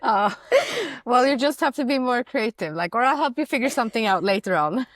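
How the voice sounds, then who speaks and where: A woman's voice: a little high, very animated, moderate pace, no room sound. A woman in her thirties who grew up in Sweden, a guest talking in a casual interview, chatty with many fillers and easy laughter. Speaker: marketing director, guest.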